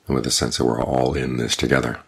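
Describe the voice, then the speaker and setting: An older man, a Buddhist monk, giving a calm, measured evening Dhamma talk with unhurried pauses. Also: very deep voice, creaky, slightly rough. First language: English.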